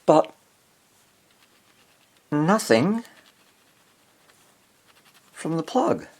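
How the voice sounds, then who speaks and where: Male hobbyist presenter for a workbench repair video: unhurried, lively rise and fall in pitch, talking haltingly to himself while working. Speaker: hobbyist radio restorer, presenter. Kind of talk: casual hands-on repair narration, thinking aloud.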